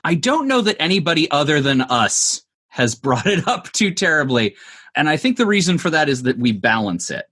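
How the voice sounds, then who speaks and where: A man speaking as an interview guest, explaining creative work in articulate, measured phrases with considered pauses. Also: on the high side, sing-song, fairly bright tone, breathy edge. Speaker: interview guest, comedy show writer.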